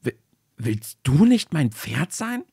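A man's voice: on the high side, sing-song, slow, gravelly, a little mellow, breathy edge, dry recording, clean studio sound, pitched above the performer's own voice, full character voice. A man voicing a tearful young soldier of the royal guard who sobs and stammers in a bedtime story. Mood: hopeful. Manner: sobbing, stammering.

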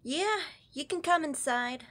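An actress playing an offhand, drawling assistant at the door in a radio drama. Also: female voice, high-pitched, animated, measured pace. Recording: clearly noisy background.

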